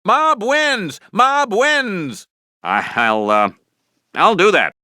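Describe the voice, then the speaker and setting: An actor playing a smug, vain middle-aged American TV news anchor in a cartoon game, with a pompous mock-serious broadcast delivery. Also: male voice, on the high side, sing-song, measured pace, sharp tone, no echo, clean studio sound, full character voice. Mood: vain, breezy. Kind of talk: cartoon game newscast commentary.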